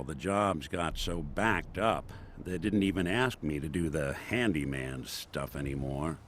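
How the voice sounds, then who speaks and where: An actor playing a world-weary, good-natured synth robot detective in a video game, telling stories in folksy, wry old-time slang. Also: male voice, lowish voice, fairly bright tone, slight background noise. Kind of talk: video game character dialogue, reflective storytelling. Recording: music playing behind the voice.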